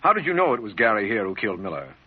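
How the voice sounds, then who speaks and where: Husky, inquisitive radio official. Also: male voice, lowish voice, sing-song, racing. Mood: curious. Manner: earnest, questioning. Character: inquisitive, admiring official, the detective's colleague. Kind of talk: 1940s radio detective acting. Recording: dull, noisy old radio recording.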